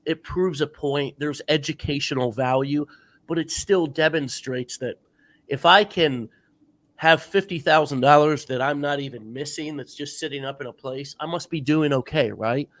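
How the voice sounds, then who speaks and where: A man's voice: on the high side, normal speed, fairly bright tone. A man hosting an interview podcast, stuffed up with a sore throat, giving earnest coaching advice in a casual chat. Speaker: podcast host.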